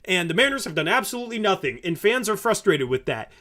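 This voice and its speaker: A man in his twenties co-hosting a casual sports chat, animated and exasperated, often talking over his partner. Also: high-pitched, quick pace. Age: 20s. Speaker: podcast co-host.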